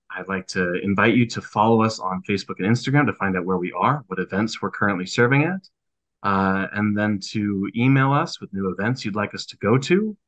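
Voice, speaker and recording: A man's voice: fairly low pitch, fairly bright tone, low-quality recording. A man who owns a small food business, giving a business presentation on a video call. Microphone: video call microphone.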